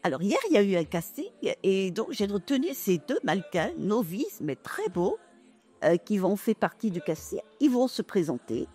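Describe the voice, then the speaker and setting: A woman interviewer of middle pitch questions young models at a gallery event. She sounds encouraging and chatty, and faint music plays behind her. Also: sing-song, normal speed, mellow, husky. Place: art gallery.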